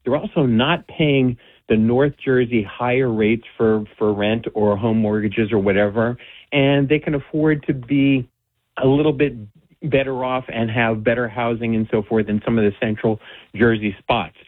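A man's voice: medium pitch, fairly even intonation, moderate pace, no echo. A man calling into a radio show who shares his opinions confidently. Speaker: radio caller. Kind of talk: casual phone-in opinion. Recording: phone line.

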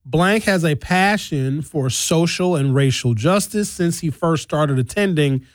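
A man's voice: a little high, a little slow, dry recording. A man hosting a talk radio show, giving opinionated commentary and reading aloud in an emphatic, conversational, blunt manner.

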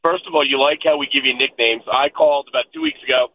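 A man's voice: a little high, wildly swooping pitch, vocal fry. A man from Connecticut calling in to a radio show by phone, eagerly running through his points. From Connecticut, USA. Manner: eager, rapid-fire talker listing points. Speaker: radio caller. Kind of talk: call-in chat. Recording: tinny phone line with faint noise.

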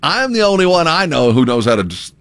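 A middle-aged man talking in loose, joking banter, with a pitch that swings widely. The sound is dry and close, with no room around it. He hammers his points in a folksy way. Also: sing-song, a little fast, fairly bright tone, dry recording. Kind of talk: casual banter.

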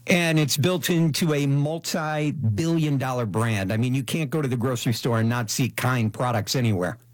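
A man's voice: medium pitch, moderate pace, no room sound. Male talk show host, dry close sound. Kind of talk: interview questions and guest introduction.

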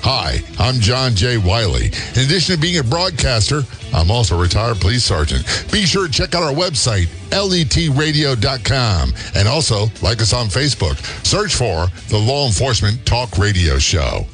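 A middle-aged man, a retired police sergeant, hosting a talk radio show in a measured, steady way. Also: mid-range voice, soft background music. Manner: steady, measured interviewer with frequent fillers.

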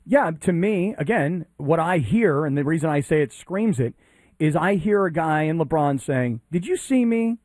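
A fairly high-pitched man co-hosting sports radio makes his points bluntly and with emphasis. His voice sounds dry and close to the mic. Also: animated, moderate pace, a little mellow, dry recording. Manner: blunt, emphatic, crude asides. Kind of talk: morning sports radio debate.

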